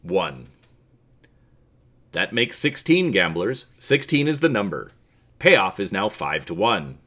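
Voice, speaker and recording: A man's voice: lowish voice, very animated, slow, pushed and bright, full character voice. A man voicing a formal droid dealer who calls out casino bets in a storybook reading. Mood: announcing. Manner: announcing, formal.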